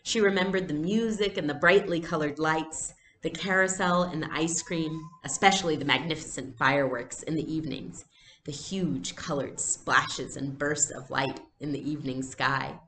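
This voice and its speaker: A woman's voice: low voice, normal speed, fairly bright tone. A woman reading a storybook aloud expressively, like a teacher, in an indoor room. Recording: echoey room, faint background noise.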